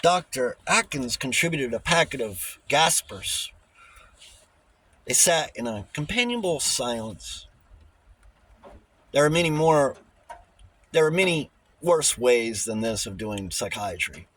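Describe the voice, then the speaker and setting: A man with a husky voice reading a novel aloud as an audiobook narrator. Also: mid-range voice, sing-song, measured pace, pauses often, fairly bright tone, rough recording. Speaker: audiobook reader. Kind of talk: scripted novel narration with character dialogue.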